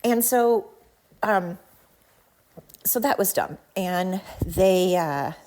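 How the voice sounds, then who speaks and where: A woman's voice: on the low side, animated, extremely slow, darkish tone. A woman hosting a solo podcast in a chatty, rambling, self-deprecating and slangy way, talking into her phone. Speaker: podcast host. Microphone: talking into a phone while pacing.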